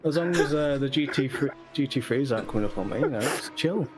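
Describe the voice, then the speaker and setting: A man who is racing while chatting on a voice call, relaxed and rambling, often chuckling. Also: mid-range voice, fast talker. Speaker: racing driver guest on voice call. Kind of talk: casual chat while racing.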